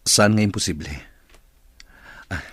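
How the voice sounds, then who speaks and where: Deep husky male boss. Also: no echo. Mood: earnest, coaxing. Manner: firm, deliberate. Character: earnest, insistent male boss, commanding yet courting. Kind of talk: Ilocano radio drama acting.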